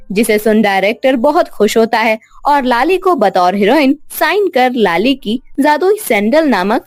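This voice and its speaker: A woman narrating a scripted children's moral story in an expressive storytelling style. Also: fairly high pitch, no room sound.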